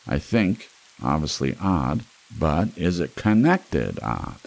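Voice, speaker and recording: A man reading a dark story as an audiobook narrator in an ASMR style, with a brooding, wry delivery. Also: rumbling low, huge pitch swings, takes it slowly, creaky. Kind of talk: scripted noir fiction narration for ASMR.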